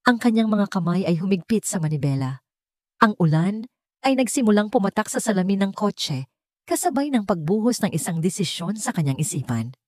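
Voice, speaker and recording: A woman's voice: mid-range voice, expressive, fairly quick, darkish tone, no echo, studio quality. A woman with a Filipino accent reading a scripted story as a dramatic narrator.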